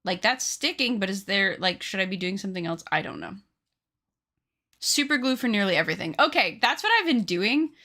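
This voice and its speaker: A woman's voice: mid-range voice, lively intonation, brisk, pushed and bright. A woman in her late twenties chatting casually with her live-stream viewers while she crafts, joking and teasing herself. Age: 20s. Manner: chatty, joking with chat, self-deprecating.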